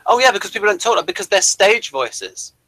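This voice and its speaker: A man with an English accent explaining things in casual discussion, often halting and rephrasing as he goes. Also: high voice, big pitch swings, sharp tone, dry recording, low-quality recording. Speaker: podcast reviewer.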